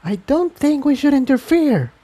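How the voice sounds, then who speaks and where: A watchful, concerned pony mare in a fantasy storybook, put on by a male reader. The voice sits very high and speaks softly, with a questioning manner. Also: big pitch swings, normal speed, no room sound. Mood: cautious. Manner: soft, questioning. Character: cautious female pony companion, concerned and watchful.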